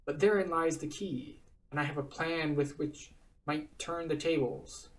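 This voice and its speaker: A man's voice: fairly high pitch, fairly even intonation, a little slow, far from the mic, close to an everyday voice, poor audio. A man voicing a male quest-giver in a fantasy game, speaking solemnly. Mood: grave. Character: male quest-giver planning against a mind flayer.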